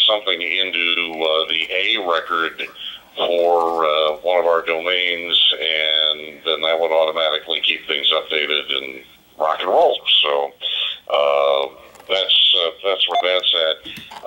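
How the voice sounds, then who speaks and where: A man chatting casually and haltingly as an amateur operator over a digital two-way radio, heard from a handheld's speaker. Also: a bass voice, sharp tone, poor audio. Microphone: voice played through portable radio speaker.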